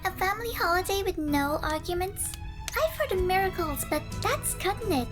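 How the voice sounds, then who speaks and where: A cheeky little cartoon filly speaks in a tiny, sky-high voice. She is sarcastic and excitable, with music playing clearly behind her. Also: moderate pace. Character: sassy, sarcastic young unicorn filly. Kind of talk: cartoon-style audio drama acting.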